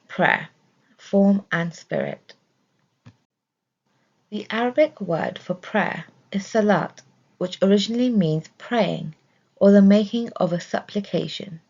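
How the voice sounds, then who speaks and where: A woman narrates a scripted religious study. Her voice sits on the low side and often creaks into fry. The recording is dull and lo-fi. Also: darkish tone. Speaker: reader of religious study text.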